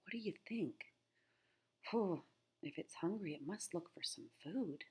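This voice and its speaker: A woman's voice: on the low side, lively intonation, a little slow, a little mellow, a little hiss or hum. A middle-aged woman teacher reading a children's nature book aloud, phrasing things simply and putting questions to young listeners.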